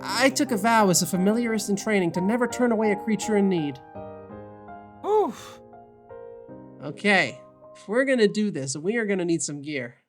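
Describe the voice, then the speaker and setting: A woman's voice: mid-range voice, animated, normal speed, long pauses, soft background music, close to an everyday voice. Woman playing a kind, wry young male witch. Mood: resolved. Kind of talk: audio drama acting.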